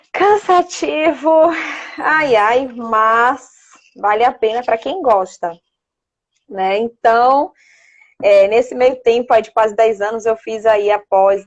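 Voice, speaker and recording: A woman in her thirties speaks in a fairly high voice with a Bahian Brazilian accent. She is chatty and informal, like a guest in a relaxed interview. The audio is slightly dull and lo-fi. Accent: Bahian Brazilian Portuguese. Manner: chatty, prone to laughing, checking with "né". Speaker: interview guest, child psychologist. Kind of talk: casual conversational interview.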